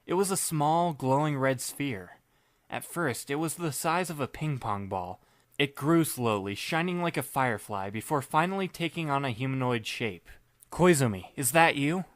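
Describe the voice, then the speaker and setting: A man playing a sarcastic, weary teenage boy who narrates in a deadpan voice. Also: mid-range voice, normal speed, dry recording, clean studio sound, natural voice. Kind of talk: first-person audiobook narration.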